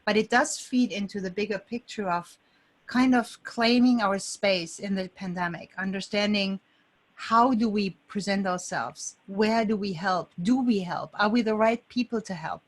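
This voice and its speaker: A woman's voice: mid-range voice, moderate pace. A woman hosting an online group coaching session, talking casually to the participants over a video call.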